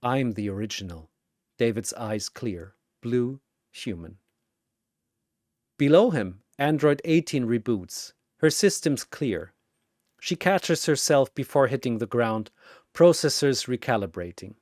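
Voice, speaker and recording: A man's voice: mid-range voice, very animated, slow, darkish tone, no echo, clean studio sound. A man narrating a scripted action story in a theatrical way, with short suspenseful phrases.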